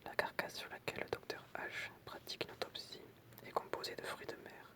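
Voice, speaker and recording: A person whispering in an ASMR style, reading out short pieces in a soft, explanatory way with casual asides. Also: a little fast. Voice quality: unvoiced, breathy whisper. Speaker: ASMR creator reading film trivia with commentary.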